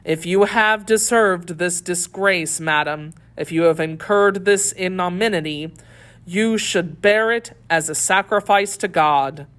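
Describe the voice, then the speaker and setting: A woman's voice: lowish voice, slow, a bit bright. A woman reading the part of a stern, devout male officer in a formal, solemn audiobook delivery. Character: stern, pious young male Puritan officer. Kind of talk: dramatic audiobook character reading.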